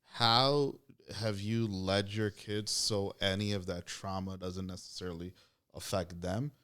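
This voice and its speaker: A man's voice: low voice, fairly even intonation, measured pace, fairly bright tone, smooth. A young man, a podcast guest whose first language is not English, talking loosely and reflectively in slangy casual chat.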